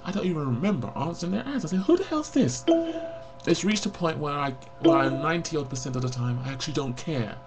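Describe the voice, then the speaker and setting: Blunt forty-year-old man with a gravelly voice. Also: medium pitch, slight background noise, rough recording. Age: 40s. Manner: frank, blunt, reflective, talking in long rambling runs. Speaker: vlogger. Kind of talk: personal confessional vlog to camera.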